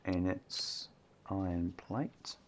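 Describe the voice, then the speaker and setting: Low-voiced man, strained, narrating gameplay. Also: very slow, slight background noise. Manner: relaxed, thinking aloud while building. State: voice getting strained. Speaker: game streamer. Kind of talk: casual gameplay commentary.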